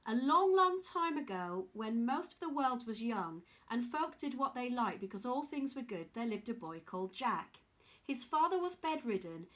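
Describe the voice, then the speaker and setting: A woman reading a children's story aloud in an expressive storytelling style. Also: fairly high pitch, normal speed.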